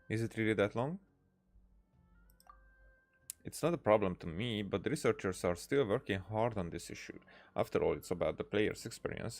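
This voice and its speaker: A man doing casual let's-play commentary, reading game dialogue aloud in a hesitant way with many "uh"s and restarts. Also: lowish voice.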